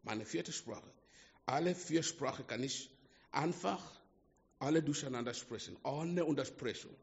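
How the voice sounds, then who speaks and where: A man preaching a sermon to a small congregation in German with a non-native accent, slow and emphatic, swinging between hushed and loud. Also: medium pitch, gravelly. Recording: dull lo-fi sound with long hall reverb.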